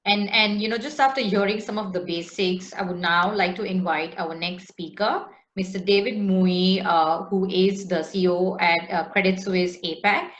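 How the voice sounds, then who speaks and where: A woman moderating an online training, introducing the next speaker in a measured way. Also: mid-range voice, fairly even intonation. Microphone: video call. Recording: echoey, dull video call audio.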